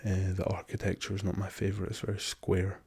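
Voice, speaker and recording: A hoarse young man speaks softly in a low, flat, gravelly murmur. His raspy voice carries a lingering cough's strain, and his dark tone stays gentle and hesitant, often dropping to a whisper. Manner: soft-spoken, slow and hesitant with many ums, drifting into whispers. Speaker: ASMR channel host. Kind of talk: soft-spoken ASMR travel chat.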